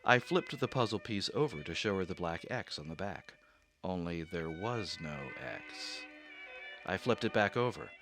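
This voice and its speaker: Man narrating with a lively low voice. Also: normal speed, faint music behind. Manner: anxious, increasingly obsessive storytelling. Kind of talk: first-person narration in a radio drama.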